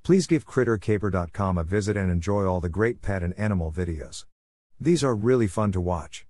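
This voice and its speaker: Deep-voiced male podcast host, dry close sound. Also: expressive, brisk, a little mellow, no room sound. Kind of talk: read podcast introduction with sponsor message.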